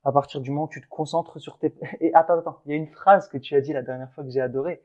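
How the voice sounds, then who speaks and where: A man's voice: a little high, fairly even intonation, fast, a little mellow, muffled recording. Earnest encouraging French podcast host man. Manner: earnest, encouraging, many restarts. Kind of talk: casual motivational podcast chat.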